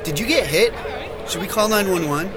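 An actor playing a helpful, concerned bystander on the street in an audio drama, casual and solicitous. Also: male voice, high voice, animated, moderate pace, over music. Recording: busy street crowd and noise behind.

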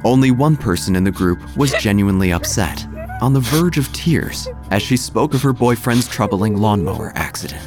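A man's voice: fairly low pitch, animated, moderate pace, music in the background. A man narrating scripted comic horror in a wry, theatrical manner.

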